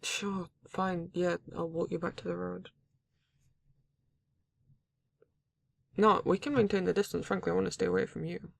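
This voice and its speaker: A performer voicing a grumpy, reluctant werewolf in an ASMR roleplay, exasperated and halting, with a husky, gravelly voice. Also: mid-range voice, steady tone. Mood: reluctant, weary. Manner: exasperated, sighing, swearing, halting delivery. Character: grumpy, reluctant werewolf, guarded but not cruel. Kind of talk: ASMR roleplay audio drama, intimate monologue.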